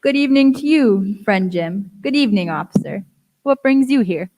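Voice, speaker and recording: A woman playing a composed, inquisitive gentleman doctor in a radio play, speaking politely and formally. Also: medium pitch, expressive, normal speed, lightly acted, poor audio. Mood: polite, curious. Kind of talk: youth radio play acting.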